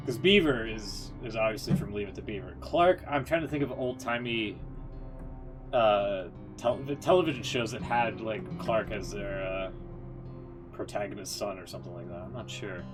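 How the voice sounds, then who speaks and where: A man's voice: lowish voice, normal speed, over music. A man streaming live, giving casual, informal reaction commentary on game cutscenes and thinking aloud.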